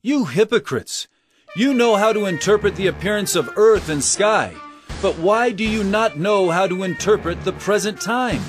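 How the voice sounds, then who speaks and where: A man's voice: sits high, animated, moderate pace, soft background music. An actor playing an earnest, commanding male religious teacher in a scripture audio drama, preaching with solemn emphasis. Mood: exhorting, rebuking.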